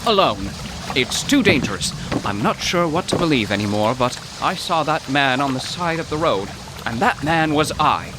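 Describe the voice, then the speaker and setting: A man's voice: medium pitch, animated, moderate pace, noisy background, natural voice. An actor playing a nervous, bookish, college-educated man in an audio drama, speaking in a pompous, wordy, poetic way. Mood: anxious, resolute. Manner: pompous, wordy, flustered, literary diction. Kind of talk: audio drama acting in old-time radio manner.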